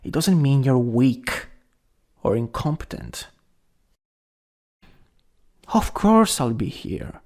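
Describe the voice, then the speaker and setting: A young man acting a caring, worried best friend in an ASMR roleplay, gentle, hesitant and intimate. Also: mid-range voice, sing-song, slow pace, long pauses, heavily acted. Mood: gentle, reassuring.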